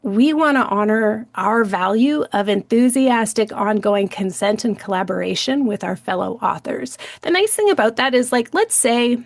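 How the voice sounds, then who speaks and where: A woman's voice: a little high, expressive, moderate pace, hardly any pauses, dry recording, clean studio sound. A woman hosting a podcast, talking in a chatty, enthusiastic way with plenty of fillers. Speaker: podcast host. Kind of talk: casual podcast chat.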